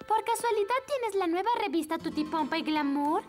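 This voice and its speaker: A woman playing a sweet, gentle young girl in a cartoon dub. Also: very high voice, expressive, brisk, faint music behind. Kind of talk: animated cartoon dub acting.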